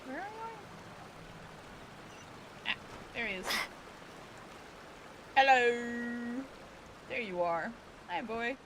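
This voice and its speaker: A woman's voice: a little high, sing-song, extremely slow, pauses often, a bit bright, a little hiss or hum. A woman streaming a game, chatting casually and talking back to the characters. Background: game music.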